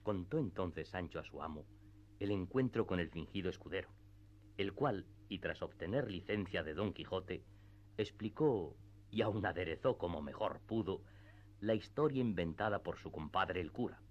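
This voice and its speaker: A man's voice: low voice, expressive, moderate pace, lo-fi sound. A man narrating a literary radio drama from a script in an archaic storytelling style.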